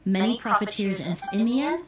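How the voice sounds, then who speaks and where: A woman playing a composed, scholarly young perfumer in a video game, speaking in a measured, formal, explanatory way. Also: medium pitch, lively intonation, a loud crowd, close to an everyday voice. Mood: informative. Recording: roomy sound with music underneath.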